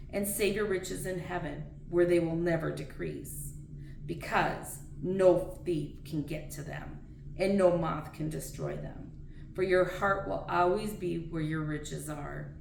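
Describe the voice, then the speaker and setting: A woman with a slightly low, gravelly voice reads scripture aloud, plainly and earnestly. She sounds some distance from the microphone, in a room that echoes around her words. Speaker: reader of daily scripture readings. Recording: roomy echo, distant mic, faint noise.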